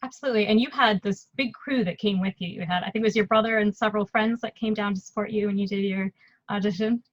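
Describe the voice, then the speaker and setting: A woman hosting a casual interview, chatting in an enthusiastic, lively way. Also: mid-range voice, fast talker. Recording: dull, dry lo-fi audio.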